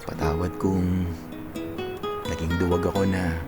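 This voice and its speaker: A man's voice: deep voice, little pitch movement, a little slow. A Filipino actor in a radio drama playing an earnest, playful young man in his twenties who is in love. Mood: tender, confessing. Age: 20s. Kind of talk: Tagalog radio drama acting. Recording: music behind the dialogue.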